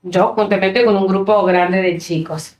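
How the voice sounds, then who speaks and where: A woman's voice: medium pitch, steady tone, measured pace, echoey room. A woman from San Pedro, Argentina, with an Argentine accent, explaining plainly how her book came about. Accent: Argentine Spanish. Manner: plain, matter-of-fact explaining. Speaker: guest, co-author.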